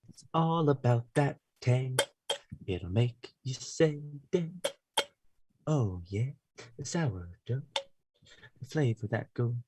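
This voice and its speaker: A man's voice: mid-range voice, animated, slow pace, long pauses. A man playing a sheepish, dreamy sandwich maker in a comedy table read, understated, on a noisy microphone. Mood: dreamily crooning.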